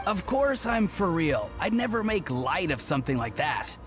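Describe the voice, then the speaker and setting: A man's voice: fairly high pitch, big pitch swings, moderate pace, music in the background. A man voicing an earnest, upbeat and cheeky young friend in a video game. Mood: admiring.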